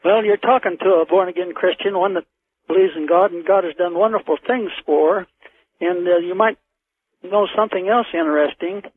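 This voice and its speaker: A man phoning in to a radio show, speaking in an earnest, deliberate way. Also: high voice, husky, dry recording. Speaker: radio phone-in caller. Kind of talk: earnest call-in talk. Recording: thin telephone line on radio.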